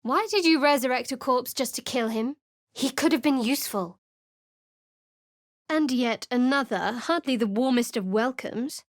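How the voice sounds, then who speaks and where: A woman's voice: high-pitched, normal speed, no room sound, clean studio sound. A woman voicing a guarded, secretive young female cleric in a video game, speaking in a cool, wry, clipped way. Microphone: dry close studio mic.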